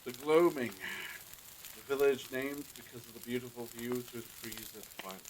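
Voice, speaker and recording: A man's voice: mid-range voice, moderate pace, background noise, close to an everyday voice. A man playing a pious, genteel village father in a period audio drama, speaking formally and earnestly with some hesitation. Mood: pious, solemn.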